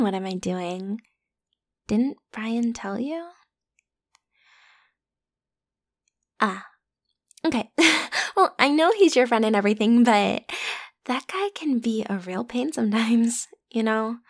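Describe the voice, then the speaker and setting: A woman playing a popular, breezy, pushy teenage schoolgirl in an ASMR roleplay, talking casually and teasingly as if to one listener. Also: a little high. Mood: flustered, casual, then chatty, explaining. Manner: playful, teasing, casual youthful phrasing with giggles. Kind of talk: ASMR roleplay, one-sided conversational acting.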